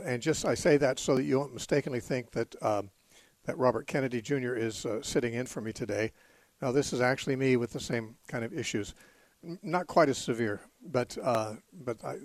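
A hoarse man hosting a live call-in Bible radio show, speaking with frequent fillers and restarts. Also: medium pitch, no echo. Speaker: radio talk show host.

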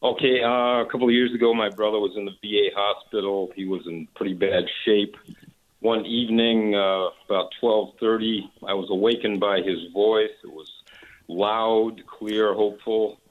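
A man's voice: fairly low pitch, steady tone, moderate pace. A man calling in to a radio show, telling a personal experience in an earnest, hesitant way. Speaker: caller. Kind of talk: phone-in caller telling a story. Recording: tinny phone line.

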